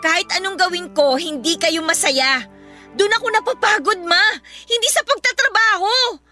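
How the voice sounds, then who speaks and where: A woman's voice: very high voice, normal speed, bright, forceful tone, soft background music. An actress playing a dutiful, hurt young Filipino daughter who pleads respectfully with her mother in a radio drama. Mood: hurt, frustrated. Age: young adult. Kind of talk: emotional radio drama acting.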